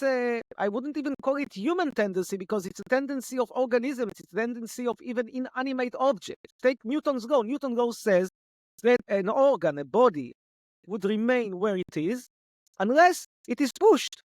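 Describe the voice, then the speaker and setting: A man's voice: very high voice, lively intonation, moderate pace, no room sound. A man, a guest, lecturing in an argumentative, analytical way and answering objections he raises himself. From Israel. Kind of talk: analytical debate.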